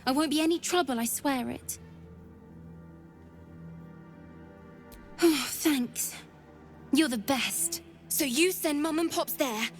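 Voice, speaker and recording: Casting: scrappy young fantasy girl, high voice, unhurried, earnest, childlike, game dialogue. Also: faint music behind. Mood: hopeful, grateful. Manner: informal, childlike, halting speech. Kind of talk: video game character dialogue.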